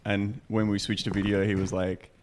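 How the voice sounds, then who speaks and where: A man's voice: on the low side, flat delivery, normal speed, slight background noise. A man hosting a podcast in casual banter, talking bluntly and swearing freely. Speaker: podcast host.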